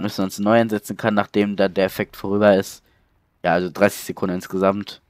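A man's voice: fairly low pitch, smooth, dry recording, studio quality. A man with a cold giving casual, chatty let's play commentary through a headset, reading out game text along the way. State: stuffy cold.

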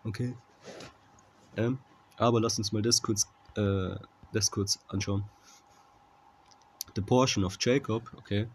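Guy doing casual bible teaching, flat lowish voice, halting, keeps checking you follow, noisy phone recording. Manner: halting, often checking with "okay?". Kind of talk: informal Bible commentary with scripture reading.

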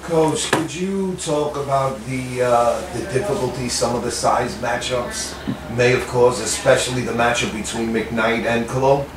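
A man's voice: medium pitch, fairly even intonation, measured pace. A man reporter asking a coach polite, formally worded questions at a postgame press conference. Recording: distant echoey room with noise.

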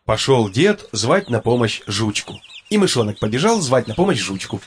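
A man's voice: medium pitch, big pitch swings, moderate pace, noisy background. A man narrating a children's cartoon fairy tale in a scripted storytelling style.

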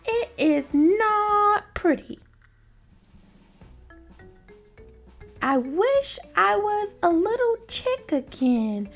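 A woman's voice: very high-pitched, sing-song, slow, soft background music, heavily acted. A woman voicing an anxious, dramatic, childish cartoon pigeon in a whiny, exclamatory way for a storybook read-aloud. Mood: pleading. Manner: exclamatory, fretful, theatrical.

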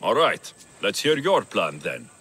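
Bright, confident mercenary warrior. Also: male voice, on the low side, very animated, unhurried, slightly rough, exaggerated voice. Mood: businesslike. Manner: dry, self-assured. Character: wry, confident male mercenary warrior of ancient Greece. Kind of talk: video game character acting.

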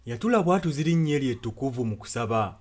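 A man's voice: medium pitch, animated, rapid-fire, very noisy. A man interpreting a sermon, following the preacher phrase by phrase. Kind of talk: spoken and sung translation of a sermon.

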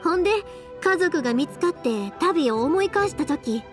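Upbeat friendly anime game girl, kansai dialect, high voice, kinda folksy. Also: expressive, moderate pace, music in the background. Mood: warm. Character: cheerful, warm-hearted young woman fireworks maker in anime game.

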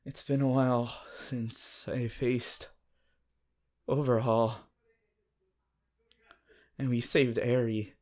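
A man playing a shy, awkward, lovestruck teenage anime boy hero in a halting, stammering romantic roleplay addressed to the listener. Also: medium pitch, flat delivery, a little mellow, lightly acted. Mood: tender, happy. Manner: halting, stammering, flustered, giggly.

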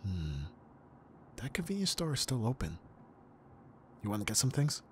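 A man's voice: mid-range voice, wildly swooping pitch, normal speed, a little hiss or hum. A young man acting as an easygoing, teasing best friend in a casual, intimate ASMR roleplay. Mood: playful, teasing.

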